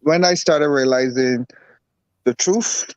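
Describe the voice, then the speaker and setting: A man from Barbados, a guest herbalist and boxer, talking in a relaxed, rambling way with lots of repeats. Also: mid-range voice, slow pace, clear, resonant tone, dry recording, rough recording. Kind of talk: casual interview talk.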